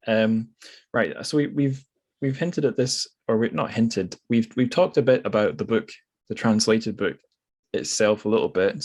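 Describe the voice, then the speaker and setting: A man hosting a literary podcast in an informal, halting conversational way, thinking aloud as he goes. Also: fairly low pitch, a little mellow. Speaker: podcast host interviewing a guest.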